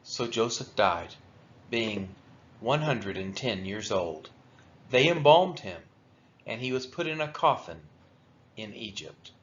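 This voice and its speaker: A man reading scripture aloud for a daily read-along, with measured, solemn and deliberate phrasing. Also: lowish voice, animated, fairly bright tone. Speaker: Bible reader for a church daily read-along. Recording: dull, lo-fi sound.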